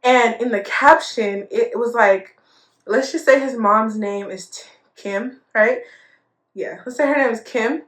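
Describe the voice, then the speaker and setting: A woman's voice: on the high side, unhurried, a bit bright. A young woman in her twenties telling a casual storytime vlog, chatty, laughing often and full of fillers. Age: 20s. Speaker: storytime vlogger. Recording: echoey room.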